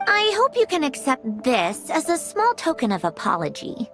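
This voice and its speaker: A woman's voice: high-pitched, huge pitch swings, normal speed, a bit bright, soft background music, exaggerated voice. A woman playing a poised, courteous young noblewoman with a teasing edge in a video game, speaking formally and politely. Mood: gracious, composed.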